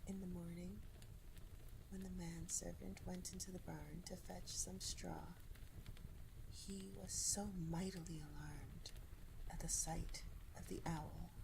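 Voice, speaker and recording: A woman reading a fairy tale aloud in a slow, soft, deliberate ASMR whisper. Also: medium pitch, creaky, background noise. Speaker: ASMR storyteller.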